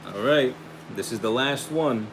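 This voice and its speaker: A man hosting a casual livestream and pitching his own hair product, upbeat and friendly, saying he is losing his voice. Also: measured pace. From United States. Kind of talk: casual livestream chat, reading viewer questions. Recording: steady air conditioning hum behind.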